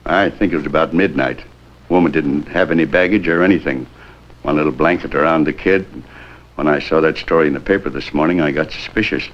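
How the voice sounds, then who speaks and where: A man's voice: rumbling low, fairly quick. A man playing a wheedling, money-minded hotel desk clerk in a 1950s American police drama, hedging and ingratiating as he talks. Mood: informative. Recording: dull, noisy 1950s film soundtrack.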